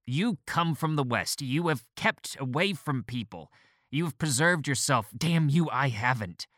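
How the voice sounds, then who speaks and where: A man voicing a weary, agitated male doctor who turns shrill and overwrought. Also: lively intonation, a little slow, fairly bright tone, dry recording, studio quality. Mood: bitter outburst.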